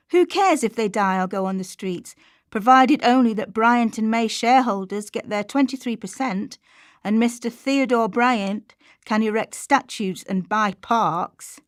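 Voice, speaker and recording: A woman playing an impassioned Victorian social campaigner in a radio play, speaking in a measured, declamatory way. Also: fairly high pitch, dry recording, clean studio sound, close to an everyday voice. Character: impassioned female Victorian campaigner denouncing workers' misery. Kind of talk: dramatised historical speech in radio play.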